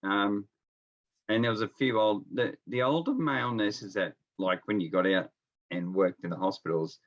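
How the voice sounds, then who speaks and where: Dull call audio, man, Australian accent, low pitch, laid-back, colloquial, casual storytelling as interview guest. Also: moderate pace. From Melbourne, Australia. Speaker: interview guest, experienced nurse. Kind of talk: casual anecdotal chat. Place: at home, on a remote call.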